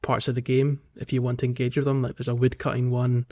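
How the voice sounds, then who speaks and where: A man's voice: medium pitch, monotone, fast talker, mellow. Man chatting casually, slightly dull audio. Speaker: podcast host. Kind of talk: casual game discussion podcast.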